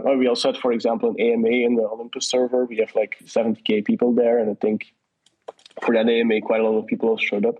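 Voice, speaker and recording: Flat-voiced man on a lo-fi call. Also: medium pitch, breathy edge. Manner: hesitant, many restarts and fillers. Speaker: guest from a partner project. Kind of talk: casual conversational answers. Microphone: online audio call. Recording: dull lo-fi audio call.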